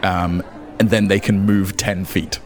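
A man's voice: deep voice, flat delivery, a little slow, soft background music. A man acting as game master, narrating and improvising in a playful, conversational way. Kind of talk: improvised tabletop game narration, casual banter.

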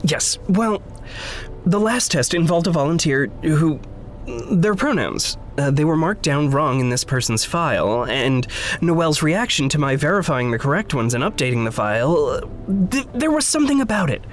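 Earnest, expressive idealist with higher male voice. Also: a little hiss or hum. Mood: defensive, intent. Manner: precise, formal wording, halting emphatic phrasing. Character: earnest, stubborn idealistic man going undercover at a company. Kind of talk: naturalistic audio drama acting.

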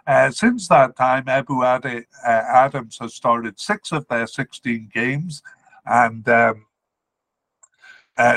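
A man's voice: mid-range voice, a bit bright, no echo, low-quality recording. Male podcast host from Wales, informal sports analyst, methodical and hesitant delivery, close dry home recording. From Cardiff, Wales. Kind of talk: informal player-by-player squad analysis.

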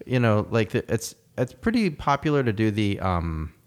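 A man's voice: on the low side, big pitch swings, normal speed. A man talking in a casual conversation, reflective and rambling, with many fillers and restarts. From United States.

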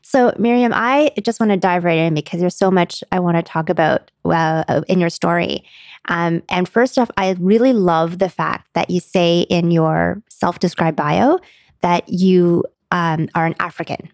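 A woman's voice: on the low side, a little slow, dry recording, studio quality. Earnest woman hosting a podcast. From China. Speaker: podcast host. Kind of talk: podcast interview.

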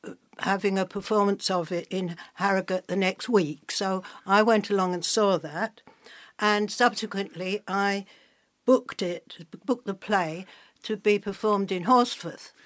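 An older woman hosting a podcast and interviewing a guest in a measured way. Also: medium pitch. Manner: measured, reads an introduction. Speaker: podcast host.

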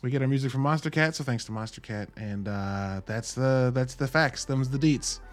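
A man's voice: mid-range voice, normal speed. Informal, slangy man hosting a podcast. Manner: informal, slangy and emphatic, with swearing. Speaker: podcast host. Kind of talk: casual solo movie review podcast.

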